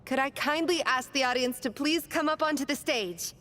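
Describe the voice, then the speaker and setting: A woman's voice: sits high, moderate pace, pushed and bright, big-room sound, a little hiss or hum. A woman playing a gracious, formal stage presenter in a video game, announcing courteously.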